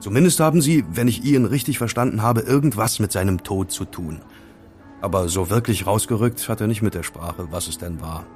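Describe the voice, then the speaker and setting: An actor playing a casual amateur sleuth who recounts intrigue in a wry, conversational way in an audio drama. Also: male voice, on the low side, brisk, a little mellow, faint music behind, natural voice. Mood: puzzled, musing. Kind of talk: German audio drama dialogue.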